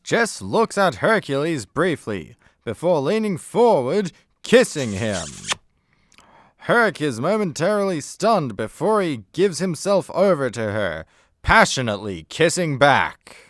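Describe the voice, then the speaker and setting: Male narrator, scripted stage directions. Slightly high, measured and slow, close dry recording. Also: sing-song, a bit bright, no room sound. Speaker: radio play narrator.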